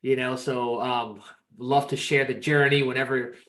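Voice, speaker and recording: A man's voice: medium pitch, fairly even intonation, measured pace, fairly bright tone, poor audio. A man from Peru, in his thirties, chatting as a podcast guest in an upbeat, rambling way with many restarts. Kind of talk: casual interview chat.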